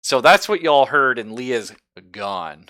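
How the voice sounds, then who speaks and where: A man's voice: medium pitch, animated, slow pace, pushed and bright, slight background noise. A man narrating a tabletop horror game as game master in a casual, jokey, sweary way.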